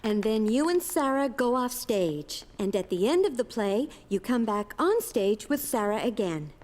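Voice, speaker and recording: An encouraging cartoon schoolteacher running a school play: high-pitched, lively delivery, reverberant hall. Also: female voice, moderate pace. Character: encouraging female schoolteacher directing a children's play. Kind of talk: scripted children's cartoon acting.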